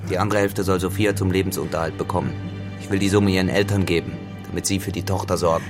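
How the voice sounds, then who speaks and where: An actor playing a cynical, dissolute farmhand turned tenant in a literary radio drama, grave and deliberate in manner. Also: male voice, deep voice, little pitch movement, music in the background, natural voice. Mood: insistent, threatening.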